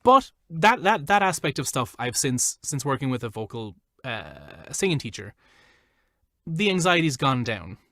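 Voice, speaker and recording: A man's voice: medium pitch, very animated, unhurried, pushed and bright, no room sound. Chatty man with a dry, close sound. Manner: chatty, loose, lots of "like" fillers. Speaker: voiceover artist guest. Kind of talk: casual interview chat.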